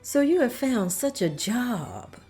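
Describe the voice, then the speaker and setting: A gracious, kindly mistress of a mansion, read by a woman, her voice mellow and warm, rising and falling freely as she speaks in an unhurried way. Faint music plays behind her. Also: on the high side, very animated. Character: Miss Van Loo, a kindly older estate mistress. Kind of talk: amateur table read.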